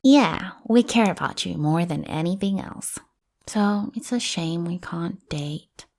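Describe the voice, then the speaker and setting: Woman acting a slow, low-toned, flustered bodyguard. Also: lively intonation, darkish tone. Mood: devoted. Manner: awkward, earnest, slips and hastily corrects herself. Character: devoted, flustered young female bodyguard, hopelessly lovestruck and clingy. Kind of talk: acted audio roleplay addressed to listener.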